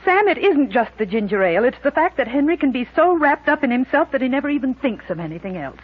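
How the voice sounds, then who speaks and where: A woman's voice: high voice, sing-song, fast, slightly rough, full character voice. A woman playing a fussy, insistent middle-aged mother and wife in 1940s radio comedy. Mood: put-upon, insistent. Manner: prim, insistent, long-suffering. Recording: dull lo-fi 1940s radio broadcast.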